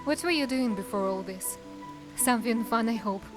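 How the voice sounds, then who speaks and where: A woman playing a wry, guarded dark elf assassin in a cinematic fantasy drama, with a musing, teasing manner. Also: fairly high pitch, moderate pace, over music, lightly acted. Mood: playful.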